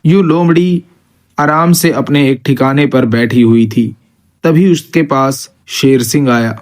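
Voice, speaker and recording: A man's voice: medium pitch, measured pace, a little mellow. A man narrating an animal story for children as its storyteller.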